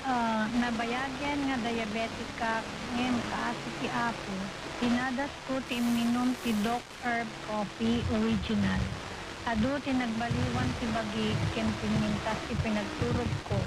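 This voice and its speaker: High-voiced older Ilocano woman, heavy noise. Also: a little slow. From Ilocos, Philippines. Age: 60s. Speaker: customer giving a testimonial. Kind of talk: radio advert testimonial. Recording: very noisy, loud steady hiss.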